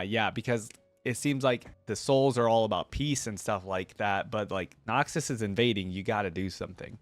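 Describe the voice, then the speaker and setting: A man's voice: fairly low pitch, no echo. A man hosting a casual reaction video, talking conversationally and reading lore aloud into a close microphone. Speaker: YouTube host reacting to game lore.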